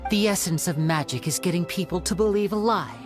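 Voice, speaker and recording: An actor voicing a young male stage magician in a video game, smooth, theatrical and explanatory. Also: high voice, fairly quick, natural voice. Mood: deducing. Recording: game audio with soft music.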